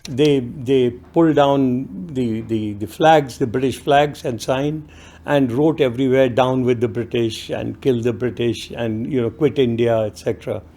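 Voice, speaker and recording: A man explains history in a documentary interview, pausing often with fillers and restarts. His voice is mellow and mid-pitched. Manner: halting, with many fillers and restarts.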